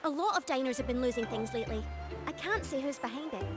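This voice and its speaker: A woman playing a brisk, helpful restaurant server in a video game. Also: sits high, animated. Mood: concerned. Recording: loud music over voice.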